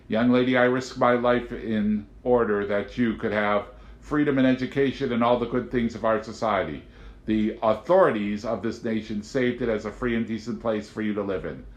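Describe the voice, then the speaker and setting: A man's voice: on the low side, lo-fi sound, lightly acted. A man voicing a fatherly, indignant government agent who lectures and scoffs in an audiobook. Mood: lecturing. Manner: forceful, lecturing, exasperated. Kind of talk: audiobook character voice. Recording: roomy, echoing home recording.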